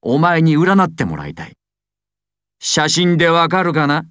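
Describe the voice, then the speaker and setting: A man's voice: a little high, very animated, a little slow, a bit bright, smooth, no echo. Imperious male mafia boss villain, commanding, secretive and theatrical, anime game style, dry recording. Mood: cold menace. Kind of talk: anime and video game voice acting.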